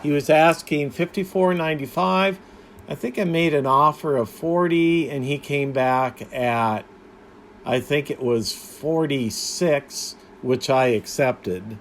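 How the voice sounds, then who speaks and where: A man talks through a casual hobby review in a fairly high male voice. He takes his time, pausing and restarting in a plain, matter-of-fact way. A faint background noise sits under the recording. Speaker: radio hobbyist video host. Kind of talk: casual product review narration.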